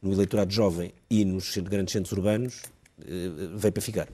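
A male moderator steers a televised political panel. His voice is very low and mellow, and he talks fast, interjecting briskly and pressing the guests with questions. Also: fairly even intonation, breathy edge. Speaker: debate moderator.

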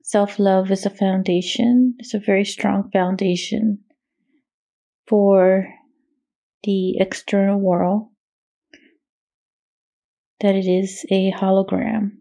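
A woman leading a guided meditation in a soothing, measured way, with long pauses and a gravelly, creaky voice. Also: mid-range voice, little pitch movement, dark tone. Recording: meditation music with singing bowl behind. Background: meditation music, singing bowl tones.

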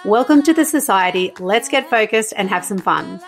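A woman's voice: medium pitch, lively intonation, normal speed, over music. Bubbly woman podcast host. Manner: bubbly and encouraging. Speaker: podcast host and marketing coach. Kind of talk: scripted intro, then casual interview chat.

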